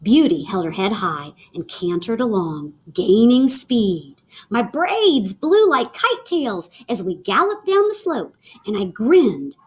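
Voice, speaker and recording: A woman reading a children's picture book aloud in an animated, theatrical way with dramatic pauses. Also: mid-range voice, slightly rough. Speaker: read-aloud storyteller.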